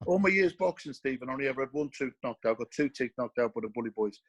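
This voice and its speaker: A man's voice: medium pitch, animated, rapid-fire, husky. Irish male guest, former fighter; earnest, emphatic delivery, mid-range voice. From Ireland. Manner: earnest, emphatic, repeats key phrases. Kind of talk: casual interview.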